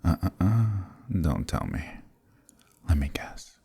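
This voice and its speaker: Very deep, slow, seductive vampire lord. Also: male voice, sing-song, mellow, no room sound, full character voice. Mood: mocking, silky. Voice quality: breathy, gravelly. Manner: slow, menacing, mockingly tender purr. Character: sadistic, possessive, seductive male vampire lord. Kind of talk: ASMR roleplay audio drama.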